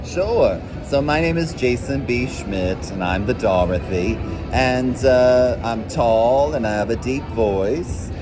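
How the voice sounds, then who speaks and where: A man's voice: mid-range voice, lively intonation, slow pace, few pauses. A man, a drag performer, chatting confidently and a little campily in an interview on a convention floor. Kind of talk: casual interview. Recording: loud music in background.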